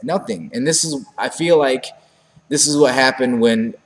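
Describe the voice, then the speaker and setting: A man's voice: medium pitch, normal speed, big-room sound. A man co-hosting a casual fight-sports talk show, rambling and then ranting with emphasis. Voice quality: monotone at times. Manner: rambling, rising to emphatic rants. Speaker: podcast co-host.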